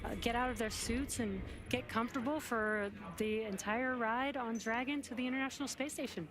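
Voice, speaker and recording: A woman's voice: fairly high pitch, moderate pace, music in the background. A woman giving live broadcast commentary as a launch presenter.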